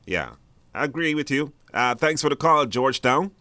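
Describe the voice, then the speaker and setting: A Belizean man with a bright, forward voice hosts a live call-in show. He speaks informally and feels his way through questions, recorded dry and close. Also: mid-range voice, lively intonation, normal speed, no echo. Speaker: talk show host.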